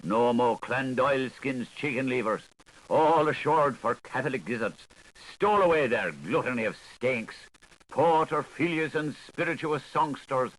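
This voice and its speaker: A man's voice: mid-range voice, lively intonation, unhurried, fairly bright tone, low-quality recording. A man reading literary fiction aloud as an audiobook narrator, in a theatrical, playful manner. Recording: older 1980s recording with audible noise.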